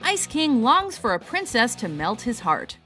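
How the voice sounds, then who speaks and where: A woman's voice: on the high side, sing-song, unhurried, pushed and bright, soft background music. A woman reading scripted narration as the presenter of a countdown video.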